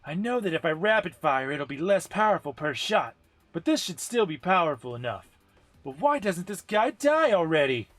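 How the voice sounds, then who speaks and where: Man putting on a loud, high-pitched, hot-headed fighter girl. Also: very animated, moderate pace, no echo, faint music behind. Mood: tense, determined. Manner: shouted, taunting, overdramatic delivery. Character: brash, hot-tempered young woman fighter, cocky and aggressive.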